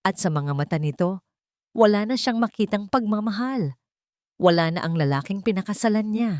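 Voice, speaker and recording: A woman's voice: mid-range voice, expressive, normal speed, a little mellow, dry recording, studio quality. A woman reading a scripted story as a narrator, with dramatic delivery.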